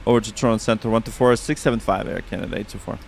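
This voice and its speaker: A man's voice: lowish voice, steady tone, rapid-fire, gravelly, a little hiss or hum. A man with a Russian accent streaming a flight sim, chatting casually with viewers and reading back radio calls on a headset.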